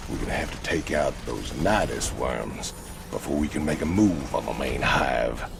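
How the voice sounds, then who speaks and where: An actor playing a hulking, trigger-happy outlaw space marine in a video game, gravelly, with a brash Southern American drawl and a sardonic folksy manner. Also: male voice, extremely low pitch, lively intonation, moderate pace, background noise, exaggerated voice. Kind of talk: video game cinematic acting. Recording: music behind the voice.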